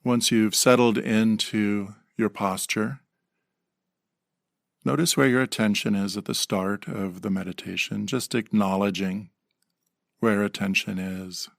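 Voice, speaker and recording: Male meditation guide, lowish pitch with vocal fry, gentle delivery with pauses. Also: fairly even intonation, no room sound, clean studio sound. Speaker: meditation teacher.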